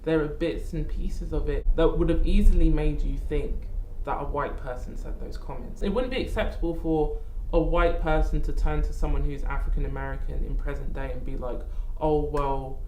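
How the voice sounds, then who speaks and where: A woman's voice: deep voice, darkish tone. A woman with a London accent talking in an interview discussion. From Croydon, South London, England. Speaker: interviewer and actor. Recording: roomy echo, faint background noise.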